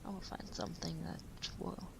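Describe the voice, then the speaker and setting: A woman playing a caring partner who is writing late at night, speaking in a gentle, hesitant, breathy half-whisper for an intimate sleep-aid audio roleplay. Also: on the low side, big pitch swings, background noise, heavily acted. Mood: quietly musing. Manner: gentle, hesitant, unhurried murmuring.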